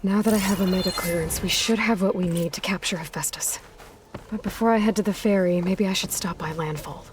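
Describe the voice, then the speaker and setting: A woman's voice: mid-range voice, fairly quick, noisy background, close to an everyday voice. A woman playing a resolute young hunter and leader in a video game, plain-spoken and dryly wry. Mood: focused musing. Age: young adult. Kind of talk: video game cutscene acting. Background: faint music.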